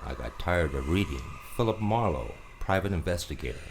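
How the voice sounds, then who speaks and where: An actor playing a world-weary hardboiled private detective, narrating an old-time radio drama in a terse, brooding way. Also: male voice, low-pitched, animated, brisk. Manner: terse, brooding, atmospheric storytelling. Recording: clear background noise with ambience.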